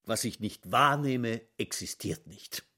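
A man's voice: medium pitch, lively intonation, slow pace, pushed and bright, dry recording, clean studio sound. A man speaking literary lines in a radio drama.

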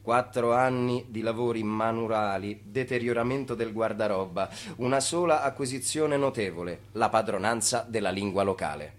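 A male actor plays an ironic storyteller in a radio drama. His fairly low voice delivers clipped phrases with deadpan dryness. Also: normal speed, hardly any pauses, lightly acted. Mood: dry, ironic. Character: dry, ironic narrator of an immigrant's life. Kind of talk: scripted radio play narration.

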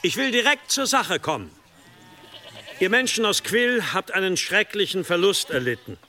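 High, forceful preaching monk. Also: male voice, sing-song, moderate pace, a little hiss or hum, full character voice. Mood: earnest appeal. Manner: solemn, persuasive, declamatory. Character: earnest missionary monk preaching to hostile villagers. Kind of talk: radio drama, public oratory. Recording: faint outdoor ambience with sheep.

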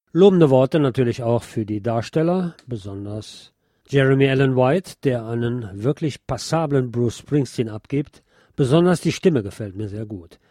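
A man narrates a scripted film review in a mellow voice of medium pitch. The recording is dry and close, with no room sound. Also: no room sound.

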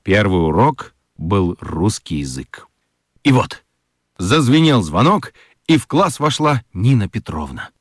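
A man narrating a children's radio drama as an expressive storyteller, reading scripted literary prose. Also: on the low side, unhurried, slightly rough, no room sound, clean studio sound.

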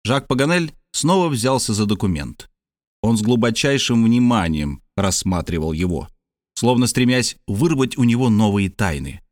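A man narrating an adventure audiobook in a measured, steady reading style. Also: medium pitch, no echo, studio quality. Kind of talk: scripted narration.

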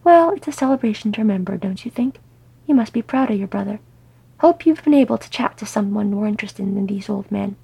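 Woman as a bashful, husky-voiced lovestruck male dwarf. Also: mid-range voice, animated, warm tone, exaggerated voice, rough recording. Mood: wistful. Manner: bashful, halting, self-deprecating. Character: shy, flustered, lovestruck middle-aged male dwarf.

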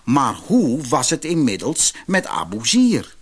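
A man narrating a fairy tale for a children's audiobook in an expressive, measured storytelling manner. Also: medium pitch, husky.

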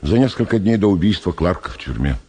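An actor playing a calm, persistent interrogator in a radio drama, with a measured, dry, probing delivery. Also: male voice, sits low, husky. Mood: dry, wry. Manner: measured, probing, wryly unruffled. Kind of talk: Soviet radio drama acting.

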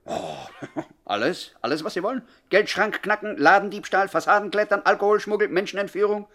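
Timid, higher-voiced polite petty crook. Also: male voice, brisk, a bit bright. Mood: eager, polite. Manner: hesitant, stammering, deferential. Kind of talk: 1960s German radio play acting.

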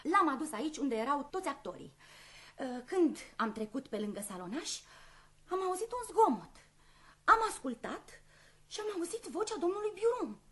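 A woman's voice: high-pitched, very animated, a bit bright. A woman playing a talkative, eager housemaid in a radio play, chatty and self-important as she hesitates over her words. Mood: confiding, hesitant.

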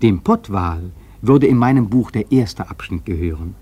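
A man's voice: fairly low pitch, expressive, normal speed, mellow, husky, no room sound, dull audio. An actor playing a seasoned, wry sailor who recounts his whaling voyage in a radio play, musing and emphatic. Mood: wry, argumentative. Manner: musing, rhetorical, emphatic storytelling. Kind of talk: literary radio play narration.

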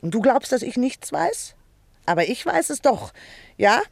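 An actress playing a big, nagging, self-pitying wife in a theatrical radio play, scolding and indignant. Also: female voice, a little high, very animated, unhurried, creaky, no room sound, exaggerated voice. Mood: indignant, reproachful. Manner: scolding, indignant, dramatic complaining.

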